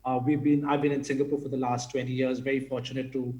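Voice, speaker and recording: A man's voice: medium pitch, little pitch movement, fast, darkish tone, roomy sound, muffled recording. A man from India speaking as a panelist in an online forum, talking casually with many fillers. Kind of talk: online panel talk with slides. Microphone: video call.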